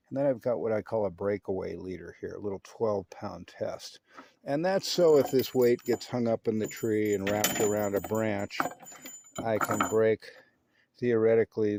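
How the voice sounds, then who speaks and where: A man's voice: fairly low pitch, dark tone. A man narrating his own hobby video in a casual, folksy and self-assured way, with slangy turns of phrase.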